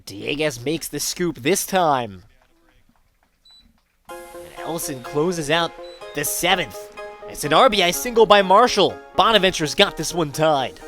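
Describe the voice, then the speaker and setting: A man's voice: on the high side, lively intonation, unhurried, long pauses, pushed and bright, soft background music. A man calling live baseball play-by-play as a sports commentator.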